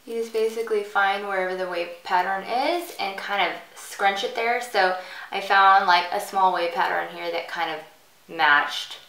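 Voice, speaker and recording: A woman with a bright voice hosts a hairstyling tutorial in an informal, chatty way. She explains each step casually while demonstrating. The room is noticeably echoey and she sits a little off the mic. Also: medium pitch, normal speed, creaky, recorded from a distance. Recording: bright roomy echo, off the mic.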